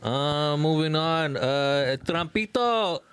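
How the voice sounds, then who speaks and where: A man's voice: on the high side, expressive, slow, fairly bright tone, slight background noise. A young man co-hosting a podcast in casual, slangy conversation. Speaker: podcast co-host.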